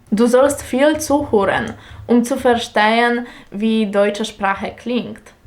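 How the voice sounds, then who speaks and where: A young woman whose first language is Polish explains German learning in careful, non-native German with a Polish accent, speaking deliberately as a teacher. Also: fairly high pitch. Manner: slow, careful, deliberate delivery with small grammar slips.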